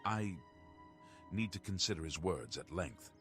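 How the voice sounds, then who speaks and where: A grave townsman with a very low voice speaks in measured, formal phrases, as in a fantasy role-playing game. Music plays softly behind his pondering delivery. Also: lively intonation. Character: grave, worried male townsman of a fantasy city.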